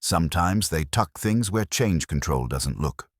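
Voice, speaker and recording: A man's voice: low voice, lively intonation, a little slow, slightly rough, dry recording, studio quality, full character voice. A man voicing a blunt, wary male technical security worker in an audiobook, terse and edged.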